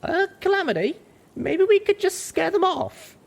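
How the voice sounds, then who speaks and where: A man's voice: extremely high pitch, sing-song, normal speed, gravelly, voice pushed up, exaggerated voice. A man voicing an anxious, hesitant young female pony heroine in a story reading. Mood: hesitant, pleading.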